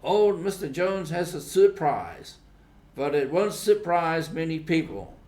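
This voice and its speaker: A man's voice: on the high side, lively intonation, measured pace, a little mellow, pitched above the performer's own voice. A man voicing a smug young tattletale boy in a storybook, with a knowing, teasing manner.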